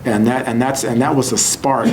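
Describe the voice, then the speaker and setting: A man from Texas with a medium-pitched voice speaks as an interview guest. He tells personal stories conversationally, restarting and repeating phrases for emphasis, with some noise behind him. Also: steady tone, normal speed. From Houston, Texas, United States. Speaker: interview guest, entrepreneur and author. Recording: clearly noisy background ambience.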